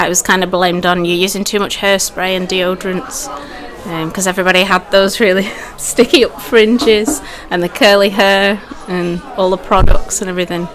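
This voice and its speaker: A woman in her forties and a mother, chatting through her interview answers with fillers. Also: mid-range voice. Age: 40s.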